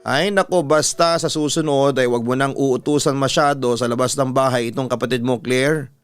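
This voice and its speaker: A man's voice: mid-range voice, fairly even intonation, moderate pace, smooth, dry recording, lightly acted. A man with a Filipino accent voicing a bossy, protective mother who nags her children in a dramatised story. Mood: scolding. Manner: nagging, bossy. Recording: music behind the voice.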